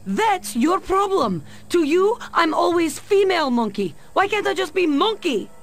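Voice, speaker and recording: A woman's voice: extremely high pitch, very animated, unhurried, heavily acted. A woman playing a cool, seductive Soviet secret agent in a video game, with a Russian accent. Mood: indignant. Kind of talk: video game cutscene acting.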